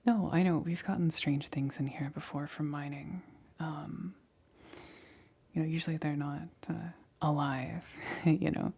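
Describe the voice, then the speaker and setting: A woman playing a nervous scientist in a whispered ASMR roleplay, speaking softly and breathily with hesitant, halting stammers. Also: deep voice, steady tone, gravelly, husky, full character voice. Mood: uneasy, confiding. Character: nervous female scientist examining an alien specimen.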